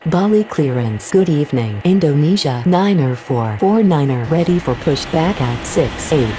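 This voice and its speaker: A woman plays a businesslike female pilot and controller for a game. Her voice is very low, with clipped, procedural phrasing. Clear steady noise runs behind the matter-of-fact calls. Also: moderate pace.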